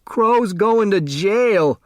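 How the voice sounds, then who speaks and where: A man's voice: high-pitched, animated, very slow, no echo, clean studio sound, pitched above the performer's own voice, full character voice. A man voicing a plaintive, wailing male storybook character who pities and accuses. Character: wailing, muttering, accusing man, full of pity.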